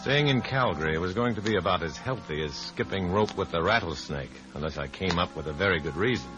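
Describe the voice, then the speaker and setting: An actor playing a wary, wry undercover courier in a dry, hard-boiled 1950s radio drama style. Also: male voice, deep voice, animated, a little fast, over music, poor audio. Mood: wry narrating. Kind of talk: 1950s American radio drama acting with narration.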